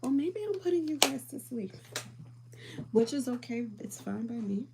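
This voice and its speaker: A calm, soothing woman chatting casually with viewers as she does her makeup, often dropping to a whisper. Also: a little high, normal speed, vocal fry, very noisy. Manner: calm, soothing, soft-spoken; often drops to a whisper while applying makeup. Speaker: beauty livestream host.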